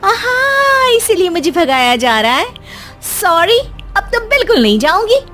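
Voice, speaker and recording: A woman plays a feisty young daughter-in-law in an animated family story. The voice is high and swings up and down, indignant and sarcastic, with music underneath. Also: measured pace, exaggerated voice. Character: feisty, bickering young Indian daughter-in-law, quick to sulk. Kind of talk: animated cartoon story dialogue.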